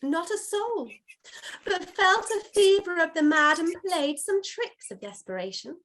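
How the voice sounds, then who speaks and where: A woman's voice: extremely high pitch, expressive, unhurried, a bit bright, full character voice, low-quality recording. A woman playing an airy servant spirit in a Shakespeare reading, declaiming verse in an eager, deferential, theatrical way. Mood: excited, vivid.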